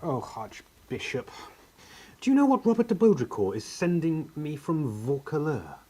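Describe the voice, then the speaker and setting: A man's voice: on the high side, very animated, normal speed, a little mellow, husky. A man acting a young uncrowned king in a radio play, whining, sarcastic and stubborn. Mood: sulky. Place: actor's home, recorded remotely.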